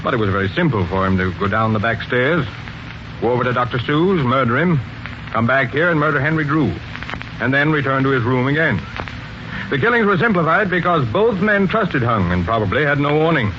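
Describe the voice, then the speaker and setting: An actor playing a brusque, authoritative police sergeant in a 1940s radio mystery. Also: male voice, medium pitch, expressive, a little fast, close to an everyday voice. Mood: explaining, assured. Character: stern, commanding male police sergeant investigating murders. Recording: muffled, noisy 1940s radio broadcast.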